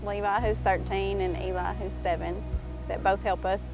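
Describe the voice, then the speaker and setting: Woman talking over clear music. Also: mid-range voice, little pitch movement, normal speed, rough recording. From Arkansas, United States. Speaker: farmer, mother. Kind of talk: casual interview talk. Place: outdoors in an open pasture.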